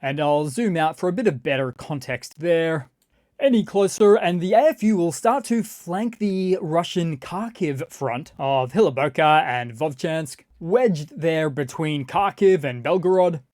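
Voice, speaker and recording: A news commentator narrating scripted war-map updates in a measured, explanatory way with wry asides. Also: mid-range voice, a little mellow, dry recording, clean studio sound. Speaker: news commentator and channel host.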